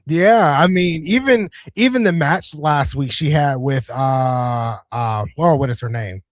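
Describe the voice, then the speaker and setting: A man's voice: on the high side, unhurried, no echo, studio quality. A man co-hosting a casual wrestling watch-along stream, chatty and opinionated, repeating himself between fillers. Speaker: co-host.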